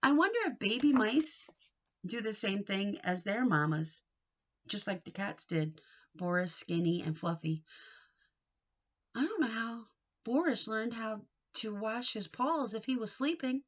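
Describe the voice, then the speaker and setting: A woman reading a picture book aloud to young children in an expressive, sing-song way, coaxing them with questions. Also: a little high, a little slow, highs cut off.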